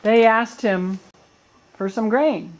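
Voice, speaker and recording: A woman's voice: on the high side, takes it slowly, darkish tone, poor audio. A woman serves as narrator for a puppet show. She tells the story in a colloquial storyteller's way, dropping in little asides as she goes.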